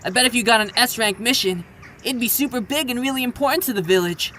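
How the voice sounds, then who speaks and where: A performer playing an eager, loyal little boy ninja in an audio drama, excitable and insistent. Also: medium pitch, quick pace, slight background noise, lightly acted. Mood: insistent, admiring. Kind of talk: fan audio drama acting.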